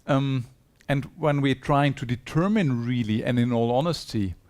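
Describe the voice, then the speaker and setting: A man's voice: mid-range voice, moderate pace, a little mellow. A man giving a conference presentation in a hall, earnest and persuasive, putting rhetorical questions to his audience. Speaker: conference speaker.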